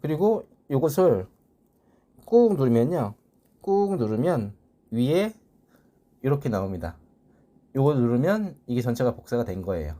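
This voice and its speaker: A man's voice: medium pitch, very animated, unhurried, pauses often, mellow. A man presenting a casual tutorial, explaining things step by step in polite speech. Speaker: tutorial presenter, salesman who codes.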